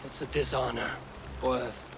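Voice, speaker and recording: An actor playing a stoic, commanding Viking warrior leader in a video game, speaking gravely and firmly. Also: male voice, mid-range voice, fairly even intonation, unhurried, background noise. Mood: grave, persuasive. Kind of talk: dramatic video game acting. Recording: dramatic music behind the voice. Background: loud game music.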